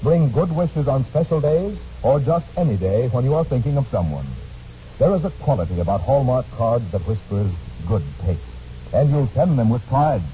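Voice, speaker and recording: A man working as announcer, reading scripted 1940s radio introductions and sponsor messages in a polished, measured way. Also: mid-range voice, mellow, a little hiss or hum. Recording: muffled old radio broadcast. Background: music.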